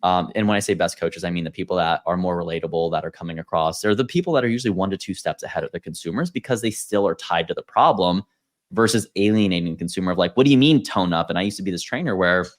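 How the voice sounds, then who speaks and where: Very deep-voiced man hosting a podcast. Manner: measured, explanatory, steering the talk. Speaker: podcast host. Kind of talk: casual podcast discussion.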